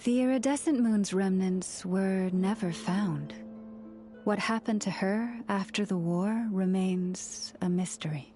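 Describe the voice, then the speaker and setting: An actress playing a solemn, gentle keeper of ancient lore in a game cutscene, telling legends in a measured, storytelling way. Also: female voice, medium pitch, faint music behind, close to an everyday voice. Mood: grave, wistful. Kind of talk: scripted game cutscene narration.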